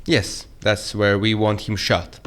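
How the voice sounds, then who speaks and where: A man's voice: fairly low pitch, level tone, slow, a bit bright. A man playing a formal, commanding captor in an audio drama, businesslike and matter-of-fact about his plotting.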